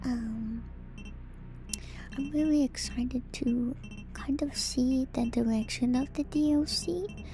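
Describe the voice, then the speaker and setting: A woman streamer giving a gentle, soothing ASMR chat in a soft, breathy whisper, close to the microphone. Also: on the high side. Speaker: streamer and host. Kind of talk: ASMR livestream sign-off chat.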